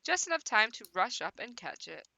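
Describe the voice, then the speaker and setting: A woman's voice: a little high, very animated, fairly quick, gravelly, pushed and bright, dry recording. A woman livestreamer reading a visual novel aloud, mixing narration with giggly, teasing, theatrical banter. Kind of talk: livestream play-along, narration mixed with excited banter.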